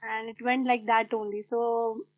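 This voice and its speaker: A woman's voice: a little high, level tone, measured pace. A woman English tutor with an Indian English accent, talking earnestly and emphatically in conversation practice. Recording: tinny online call audio.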